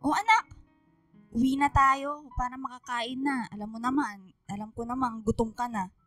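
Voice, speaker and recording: A middle-aged Filipino single mother, played by a woman, emotional and long-suffering, scolds and fusses in a radio drama. The voice is high and bright, recorded dry with faint music behind. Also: moderate pace, dry recording. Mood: motherly. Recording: music bed underneath.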